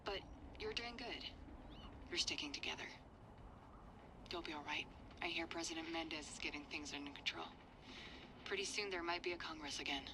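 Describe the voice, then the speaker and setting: An actress playing a protective adult caretaker, talking to children in a soothing, gently firm way. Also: female voice, deep voice, brisk, long pauses, background noise, lightly acted. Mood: gentle, reassuring. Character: protective, reassuring adult woman caring for children. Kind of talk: dramatic game audio acting.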